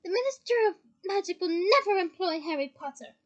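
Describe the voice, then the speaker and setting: A woman voicing a fussy, simpering older female official, spiteful and shrill, in a storybook reading. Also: animated, normal speed, highs cut off, noisy background. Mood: indignant.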